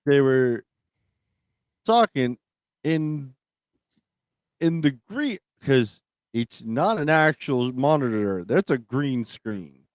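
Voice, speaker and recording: A man's voice: medium pitch, animated, takes it slowly, long pauses, no echo. A man hosts casual talk radio in a very dry, close-sounding space. His manner is blunt and opinionated, and he swears freely in loose, conversational speech. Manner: blunt, profane.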